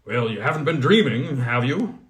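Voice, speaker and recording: A gruff, testy goblin smith from a storybook fairy tale, as a male narrator puts him on. He talks in blunt, commanding bursts, a little above the reader's usual pitch. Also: lively intonation, normal speed, a bit bright, natural voice. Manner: brusque, commanding.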